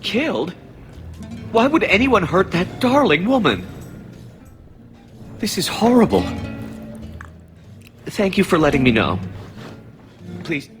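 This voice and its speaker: A bereft gentleman in a video game. His voice is fairly high and swings widely in pitch. He pleads dramatically, with faint music behind. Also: sing-song, takes it slowly, long pauses, full character voice. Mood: distraught.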